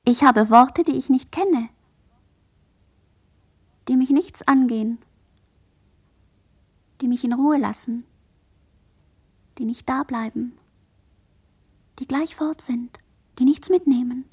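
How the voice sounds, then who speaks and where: Hohe, hauchige Mädchenstimme, entrückt. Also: female voice, high voice, slow pace, long pauses, husky, full character voice. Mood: detached, musing. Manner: fragmented, incantatory, often fading into whisper. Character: dazed girl, sole surviving witness, drifting in fragmented monologue. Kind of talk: German radio play monologue.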